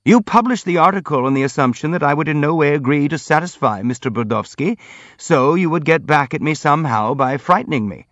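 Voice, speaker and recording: A man narrating plays a sincere, apologetic prince from a novel. He is frank and courteous, hurrying to smooth things over in a raised pitch, with a slightly muffled sound. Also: animated, a bit bright, dry recording. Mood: insistent. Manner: earnest, hurried, polite pleading. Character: earnest, apologetic, frank young prince, anxious to placate. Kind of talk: audiobook character acting.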